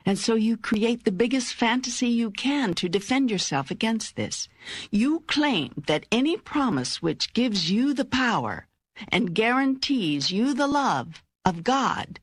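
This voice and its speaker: An older woman voicing God as a calm, wise, authoritative teacher in an audiobook, with measured, emphatic phrasing and a husky voice. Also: mid-range voice, no room sound. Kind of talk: audiobook dialogue reading.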